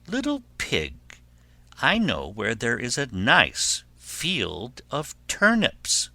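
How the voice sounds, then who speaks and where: A man voicing a sly, menacing storybook wolf who coaxes and blusters in a drawn-out, huffing delivery. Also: mid-range voice, huge pitch swings, gravelly, pushed and bright, no room sound, full character voice. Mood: sly coaxing. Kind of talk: children's fairy tale character voice.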